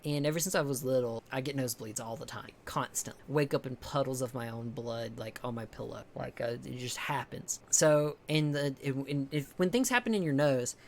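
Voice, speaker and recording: A young man from the with a higher-pitched voice, chatting casually with his stream viewers, rambling, swearing a lot and restarting often. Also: normal speed, hardly any pauses, slight background noise. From American South. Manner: rambling, heavy swearing, many restarts and fillers.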